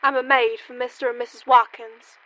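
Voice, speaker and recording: An actress playing an easily affronted maid giving testimony in a comic radio play. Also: female voice, a little high, flat delivery, a little fast, sharp tone, rough recording. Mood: earnest. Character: indignant, affronted maid on witness stand. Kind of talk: comedic radio play acting.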